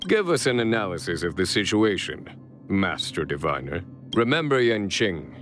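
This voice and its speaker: A man voicing a composed, wise, wistful general in a video game, measured and unruffled. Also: sits low, sing-song, fairly bright tone, faint music behind.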